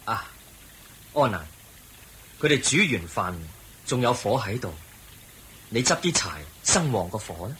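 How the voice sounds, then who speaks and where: An actor playing the Buddha as a kindly, patient monk in a Cantonese radio drama, with a Hong Kong accent. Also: male voice, medium pitch, expressive, a bit bright, breathy edge, heavily acted. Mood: kindly, guiding. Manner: measured, patient explaining. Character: the Buddha, calm kindly male wandering monk and teacher.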